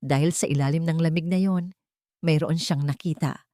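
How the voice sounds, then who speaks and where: A woman storyteller giving a dramatic scripted narration of a romance, with deliberate, emotive phrasing in short breaks. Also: low voice, steady tone, warm tone, dry recording, studio quality.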